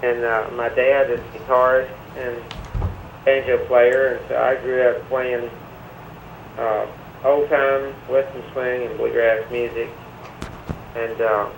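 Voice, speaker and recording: A man from Middle Tennessee answers interview questions in an easy, unhurried way, often pausing on "uh". His voice has a gravelly edge, and a faint hiss sits under it. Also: mid-range voice, low-quality recording. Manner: relaxed, many "uh" fillers. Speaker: musician guest. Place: remote link to a TV studio.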